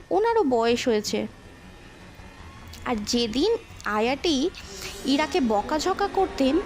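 A woman narrating a scripted ghost story in an expressive, dramatic storytelling manner. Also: high-pitched.

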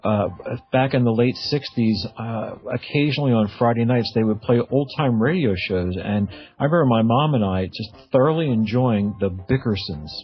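A middle-aged man hosting a radio interview, chatting casually in a halting, reflective way. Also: medium pitch, soft background music. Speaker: radio show host. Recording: dull, lo-fi radio audio.

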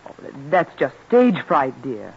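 A doting mother in an old radio drama. She soothes and coaxes with lively swings of pitch, on a muffled recording. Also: takes it slowly, gravelly, slightly rough, exaggerated voice. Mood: coaxing. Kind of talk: old-time American radio acting. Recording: muffled old radio, crowd murmur behind.

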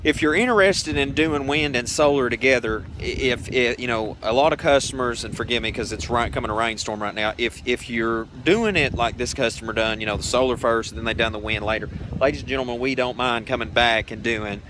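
A man's voice: mid-range voice, brisk, few pauses. A young man hosting an informal video tour as a contractor, talking in a rambling, folksy way. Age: young adult. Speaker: off-grid solar contractor, video host. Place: hilltop job site, in and out of doors. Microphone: phone held by the speaker. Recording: noisy handheld recording with wind.

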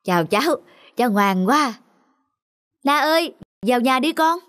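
A woman's voice: sits high, animated, a little slow, a bit bright. A protective young mother. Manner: earnest, admonishing.

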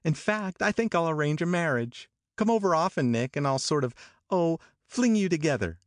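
This is a languid, teasing young woman with a lilting, breathy drawl, voiced by a man reading aloud. Her voice sits high above the narrator's own. The sound is dry and close. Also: lively intonation, moderate pace, no echo, close to an everyday voice.

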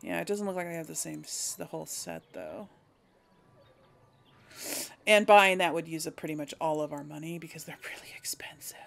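A woman's voice: low voice, expressive, moderate pace, vocal fry, pauses often. A woman hosting a let's play, thinking aloud in casual, hesitant gameplay commentary. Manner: musing aloud, hesitant deliberation.

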